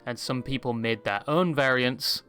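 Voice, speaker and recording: A man's voice: mid-range voice, moderate pace, pushed and bright, soft background music. A man narrating scripted commentary about internet culture in the style of a video essay.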